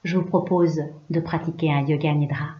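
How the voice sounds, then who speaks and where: A woman yoga teacher leading a guided relaxation in a hushed, soothing, unhurried way, leaving pauses between short phrases. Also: low voice, fairly even intonation. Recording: roomy, slightly dull recording.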